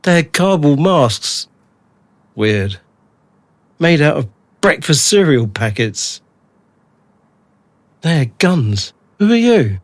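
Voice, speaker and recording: A man's voice: fairly high pitch, sing-song, slow, pauses often, dry recording. An actor playing an anxious, bewildered kidnapped family man in a radio drama, blunt and colloquial in his way of talking.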